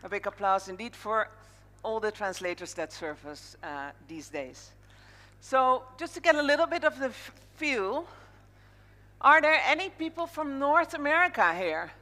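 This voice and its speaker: Bright-voiced Dutch woman hosting in a big hall. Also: fairly high pitch, sing-song, normal speed. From Netherlands. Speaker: master of ceremonies. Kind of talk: live conference opening address to an audience. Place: large indoor conference hall, on stage. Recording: echoey large hall reverb over PA.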